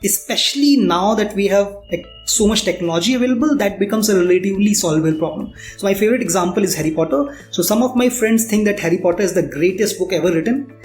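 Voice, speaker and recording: A man's voice: high voice, quick pace, hardly any pauses. Indian man explaining in a roomy space. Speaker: startup founder interviewed as guest. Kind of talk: conversational interview answers. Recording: roomy echo, faint background music.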